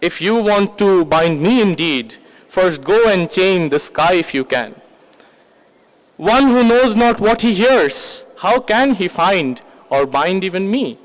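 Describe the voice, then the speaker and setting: A man's voice: high-pitched, animated, slow pace, low-quality recording. A man reciting poetry as a wrathful god who reveals a vast cosmic form, in a solemn, commanding, declamatory manner. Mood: grand, menacing, then grave warning.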